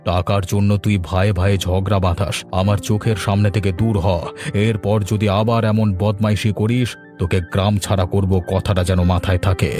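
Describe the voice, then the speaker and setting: Male actor as stern village authority, firm, low-pitched, dark tone, cartoon delivery. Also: level tone, fairly quick, soft background music, lightly acted. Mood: angry scolding. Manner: commanding, firm. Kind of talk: Bengali cartoon voice acting.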